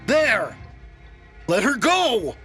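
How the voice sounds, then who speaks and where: A man voicing a bewildered, desperate young man who sounds strained, high and very expressive. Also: very slow, slightly rough, soft background music, full character voice.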